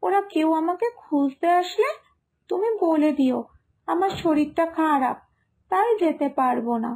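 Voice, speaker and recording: A woman voicing a devoted, self-sacrificing village daughter in an animated moral story, speaking in an earnest, emotional, pleading manner. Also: sits high, measured pace. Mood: hesitant, sad.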